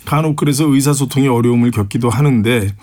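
A man hosting an interview, asking questions in a calm, measured way and giving frequent short acknowledgments. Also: medium pitch. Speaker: host. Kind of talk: interview talk show.

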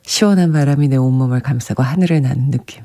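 A radio host with a soft voice that sometimes drops to a breathy whisper, chatting gently and reading listener messages on a classical music show. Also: medium pitch, quick pace. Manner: gentle, chatty, often laughing softly.

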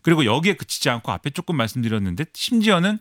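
A man giving fast, animated political analysis on a current-affairs radio show, explaining with many fillers. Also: on the high side, dry recording, studio quality. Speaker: political commentator.

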